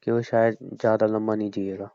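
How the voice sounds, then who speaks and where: A man acts out a wounded young boyfriend in a phone-call drama. He speaks in a flat, barely varying voice, brooding and reproachful. The sound is very dry and close, like a dull lo-fi call recording. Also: on the low side, a little fast, no room sound, lightly acted. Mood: wounded, somber. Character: hurt, reproachful young man doubting his girlfriend.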